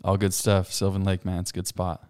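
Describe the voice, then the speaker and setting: A man in his thirties, a podcast guest, chatting casually and loudly, rambling with plenty of fillers and frequent laughs. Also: low-pitched, completely flat delivery, fairly quick. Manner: loud, laughs often, rambling with many fillers. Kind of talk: casual chat.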